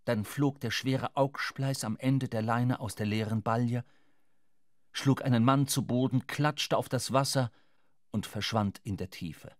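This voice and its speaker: An actor playing a sombre sailor who narrates a shipwreck in a measured, dramatic literary radio play. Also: male voice, mid-range voice, no echo, clean studio sound, lightly acted. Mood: grave, dramatic.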